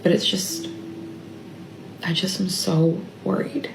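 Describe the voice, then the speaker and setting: A mother speaking in a halting, tearful confessional, often trailing off and dropping to a whisper. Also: on the low side, flat delivery, gravelly. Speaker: mother sharing a personal update. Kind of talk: emotional confessional monologue. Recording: echoey room with faint ambient noise.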